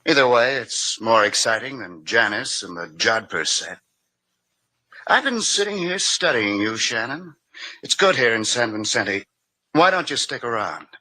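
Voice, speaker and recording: A man's voice: medium pitch, big pitch swings, unhurried, pushed and bright, exaggerated voice, rough recording. A man acting a flippant, cynical drifter artist with sardonic, languid delivery in a 1950s radio drama.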